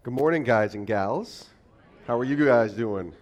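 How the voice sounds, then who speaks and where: A man in his forties, originally from upstate New York, preaching a church sermon in a raspy, cold-roughened voice and joking with the congregation. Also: lively intonation, a little slow, highs cut off. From Albany, New York. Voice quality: raspy, deeper than usual. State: hoarse from a cold. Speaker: guest preacher. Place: church sanctuary.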